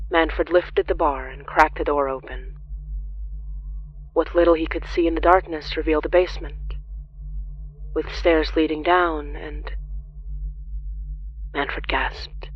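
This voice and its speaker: A narrator reading scripted horror fiction in a dramatic, deliberate storytelling manner. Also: mid-range voice, fairly even intonation, muffled recording, a little hiss or hum.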